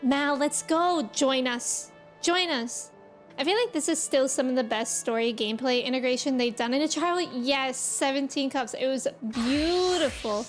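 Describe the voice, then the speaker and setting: A woman's voice: sits high, moderate pace. A woman game streamer chatting casually and appreciatively with her viewers in an informal way. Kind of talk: casual livestream chat with viewers. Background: game music.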